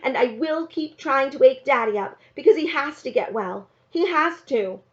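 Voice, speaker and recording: A woman's voice: high-pitched, expressive, normal speed, voice pushed up. A woman reading the part of an earnest, defiant young country girl in a storybook, pleading and insistent. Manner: pleading, insistent, breathless run-on sentences. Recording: slightly dull, lo-fi sound.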